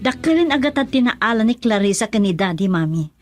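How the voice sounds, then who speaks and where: An actress with a Filipino accent playing an indignant young daughter, sharp and accusing, in a radio drama. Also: female voice, a little high, expressive, fairly quick, dry recording, faint music behind, close to an everyday voice. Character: indignant young daughter standing up to her father.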